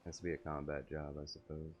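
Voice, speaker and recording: A man's voice: very deep voice, little pitch movement, quick pace, highs cut off. A man streaming a video game, reading its text aloud and adding casual offhand commentary. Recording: game music behind the voice. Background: video game music.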